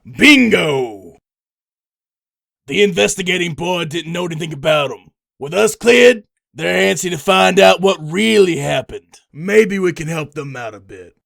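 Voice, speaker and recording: A man's voice: sits high, expressive, unhurried, vocal fry, a bit bright, exaggerated voice. A man voicing a brash, sarcastic police detective in a comic dub, with a blustering, domineering manner. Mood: smug.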